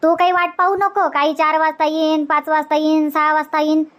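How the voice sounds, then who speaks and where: A woman as an insistent, high-voiced village aunt. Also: little pitch movement, fairly quick, a bit bright. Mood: firm, scolding. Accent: Varhadi Marathi from Vidarbha. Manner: insistent, repetitive, scolding. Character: bossy, hospitable middle-aged village woman, insistent aunt. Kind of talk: Marathi cartoon comedy dialogue.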